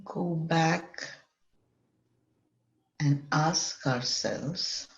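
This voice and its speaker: Female meditation guide, measured and unhurried with long mid-sentence pauses, slightly dull lo-fi sound in a roomy space. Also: low voice, vocal fry. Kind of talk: guided meditation, semi-improvised. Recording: dull lo-fi, echoey room.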